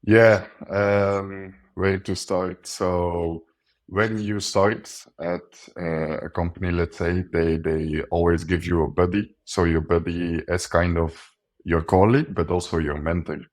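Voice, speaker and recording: A man with an extremely low voice speaks in casual interview talk. His delivery is earnest and reflective, and it stays fairly level in pitch. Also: little pitch movement, unhurried. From coastal Belgium. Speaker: guest, sales account executive.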